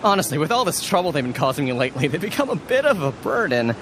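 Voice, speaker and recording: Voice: mid-range voice, big pitch swings, fast, noisy background, lightly acted. A voice actor playing a sheepish young village man who stammers and dodges blame. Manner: evasive, stammering, shrugging. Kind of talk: game voice acting. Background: game music.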